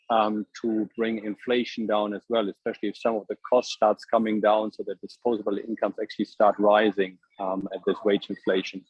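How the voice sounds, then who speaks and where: A man with a fairly low, husky voice asks a polite economics question on a conference call. He is hesitant and halting, and the line is dry and somewhat muffled. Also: no room sound.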